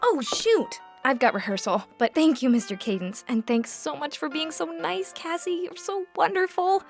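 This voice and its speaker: Teenage ingenue, very high with creaky edges, breathless gushing, 1950s radio drama. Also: female voice, expressive, moderate pace, faint music behind. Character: gushing, dramatic seventeen-year-old girl starlet. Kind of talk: old Hollywood radio play acting.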